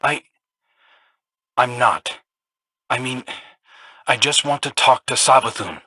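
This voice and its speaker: A troubled, earnest game character, halting and conversational. His voice is very bright and tense with a raspy grain, recorded close and dry. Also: medium pitch, no room sound, heavily acted. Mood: hesitant, troubled. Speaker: video game character voice actor. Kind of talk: conversational video game dialogue.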